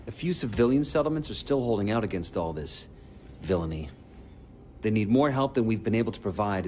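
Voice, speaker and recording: An actor playing a blunt, weary male communications coordinator in a video game cutscene, talking in a gruff, profane, matter-of-fact way. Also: fairly low pitch, darkish tone, a little hiss or hum, natural voice.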